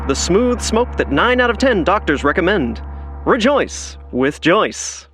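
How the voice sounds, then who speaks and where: Theatrical old-time radio announcer, animated. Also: male voice, high-pitched, a little slow, husky, full character voice. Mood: upbeat selling. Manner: dramatic, grandiose delivery. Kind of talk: 1940s radio announcer acting.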